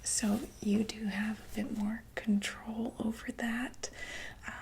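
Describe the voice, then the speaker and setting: A woman playing a reassuring female doctor for monsters in a whispered ASMR roleplay, explaining gently and hesitantly in a breathy voice. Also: fairly high pitch, level tone, slow, exaggerated voice. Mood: explaining calmly.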